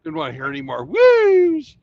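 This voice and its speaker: A man's voice: fairly high pitch, wildly swooping pitch, moderate pace, creaky, no room sound, lo-fi sound. A man hosting a livestream, speaking in a mock-stern, theatrical way. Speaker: livestream host. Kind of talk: casual livestream chat.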